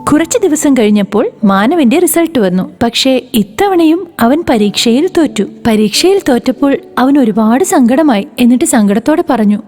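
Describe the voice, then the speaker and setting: A woman's voice: sits high, expressive, moderate pace, hardly any pauses, darkish tone, soft background music. A woman narrating a scripted children's moral story.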